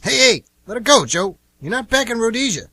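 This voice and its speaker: Guy acting as a nervous peacemaker buddy in a radio play, husky but bright voice, slangy, really animated. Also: very high voice, fairly quick, no room sound, full character voice. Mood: alarmed, pleading. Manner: slangy, hesitant questioning. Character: uneasy, curious young male friend trying to keep peace. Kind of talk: amateur radio drama acting.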